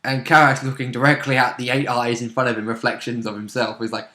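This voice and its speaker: A man's voice: medium pitch, level tone, fast, pushed and bright. A man in casual chat as a tabletop player, jokingly chipping in with comments. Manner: jokey, chipping in. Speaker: player and co-host discussing a tabletop game. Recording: roomy, echoey home recording.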